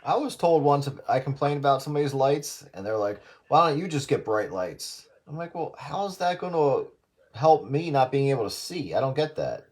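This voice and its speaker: A man's voice: medium pitch, big pitch swings, normal speed, a little mellow. A man in casual radio chat with other hobbyists, teasing and argumentative, mockingly quoting people over two-way radio audio.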